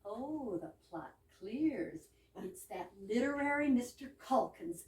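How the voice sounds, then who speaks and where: A woman's voice: a little high, animated, slow, a little mellow. A woman playing a playful, teasing younger sister in an amateur staged reading of a 1920s comedy, breezy and mock-grand. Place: live reading in a roomy indoor space. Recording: echoey room, distant mic, background noise.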